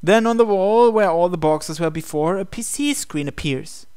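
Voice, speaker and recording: A man's voice: high-pitched, moderate pace, a little mellow, clear, resonant tone, dry recording, clean studio sound. A man reading visual novel narration and dialogue aloud as a gaming video host. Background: game music.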